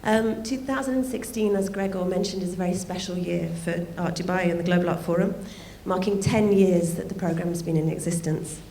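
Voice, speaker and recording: A woman with a croaky, gravelly voice opens an evening forum with a welcome speech. Her tone is mellow and unhurried, with light asides. She speaks into a microphone in a roomy hall with faint background noise. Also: on the low side. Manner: thanks people warmly, with light asides. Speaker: art fair director opening a forum. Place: event venue.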